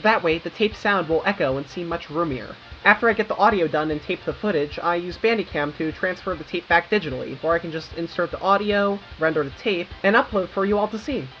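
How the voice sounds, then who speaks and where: A woman's voice: lowish voice, a little fast, few pauses, highs cut off. A young American woman narrating a scripted video essay with informal, wry, self-aware asides. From United States. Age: young adult. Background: background music.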